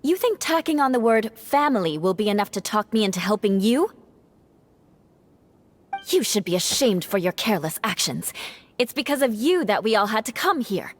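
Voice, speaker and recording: A woman's voice: medium pitch, sing-song, normal speed. A cold, scornful noblewoman. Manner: cool and scornful. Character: proud aloof young noblewoman, cold and sharp-tongued. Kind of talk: video game voice acting. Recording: game cutscene with background music.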